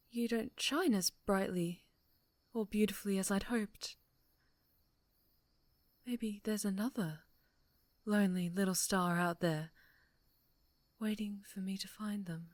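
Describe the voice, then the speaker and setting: A woman plays an obsessive superheroine in an ASMR drama, wounded and menacing. She speaks slowly and haltingly, slipping into sweet, breathy whispers. The sound is dry and intimate. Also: mid-range voice, no room sound.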